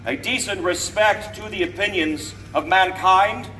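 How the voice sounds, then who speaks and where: Stately colonial statesman played by a male reenactor, high forceful voice, formal theatrical proclamation, recorded outdoors from a distance. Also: slight background noise. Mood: formal, solemn. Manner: declamatory, deliberate phrasing, formal. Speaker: historical reenactor. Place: outdoors, speaking from a balcony to a gathering. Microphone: distant camera microphone.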